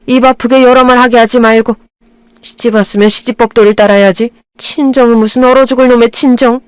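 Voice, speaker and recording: A woman's voice: fairly high pitch, steady tone, fairly quick, smooth, no room sound, pitched above the performer's own voice, lightly acted. A woman voicing a domineering older mother-in-law who scolds and belittles her daughter-in-law. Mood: scornful. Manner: domineering, scornful.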